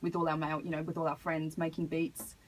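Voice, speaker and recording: A woman answering as a radio interview guest, earnest and measured, picking her phrasing hesitantly as she explains. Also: low voice, level tone, low-quality recording. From Australia. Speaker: hip hop artist, interview guest. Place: radio studio. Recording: noticeable background noise.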